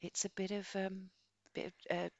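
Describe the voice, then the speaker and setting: A woman's voice: medium pitch, flat delivery, moderate pace, no echo, muffled recording. A woman co-hosting a podcast, talking casually and enthusiastically about books in a chatty, rambling way. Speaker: podcast co-host. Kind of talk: casual book chat.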